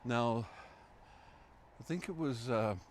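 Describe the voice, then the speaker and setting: Slow-talking man with faint hiss behind. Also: medium pitch. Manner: chatty, amused, fillers and asides. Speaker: co-host of a local history stream. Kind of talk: casual chat.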